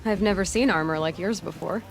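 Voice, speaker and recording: A woman's voice: fairly low pitch, a little fast, slight background noise, close to an everyday voice. An actress playing a determined, curious young female hunter in a game cutscene, asking direct questions. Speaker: game protagonist. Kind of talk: video game cutscene dialogue.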